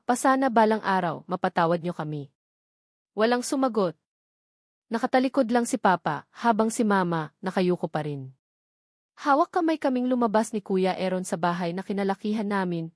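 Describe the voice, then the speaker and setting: A woman's voice: mid-range voice, expressive, normal speed, no echo, clean studio sound. A woman giving an emotional, melodramatic first-person narration of a scripted drama story.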